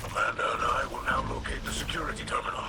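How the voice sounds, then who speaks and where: A man voicing a gentle, solemn alien warrior leader in a video game, speaking formally and with measured phrasing. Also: mid-range voice, close to an everyday voice. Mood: solemn, focused. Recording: music behind the voice.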